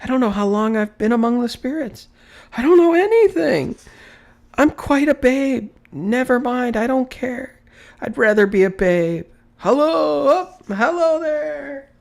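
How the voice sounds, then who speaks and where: A man's voice: extremely high pitch, animated, measured pace, creaky, pitched above the performer's own voice, exaggerated voice. A man voicing an overjoyed old man from a storybook, exclaiming exuberantly in breathless wonder. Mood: giddy, elated. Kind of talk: dramatic storybook reading.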